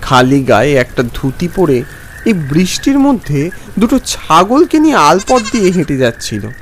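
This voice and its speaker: A man narrating a scripted first-person story in a dramatic, expressive way. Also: a little high, moderate pace, slight background noise.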